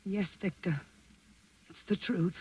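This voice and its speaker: An actress playing a weary, bitter countess in a 1940s radio drama, refined and restrained, with a husky voice. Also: female voice, mid-range voice, fairly even intonation, slow, no echo. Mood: bitter hatred. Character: weary, strained countess, bitter toward her husband. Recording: dull, lo-fi vintage radio recording.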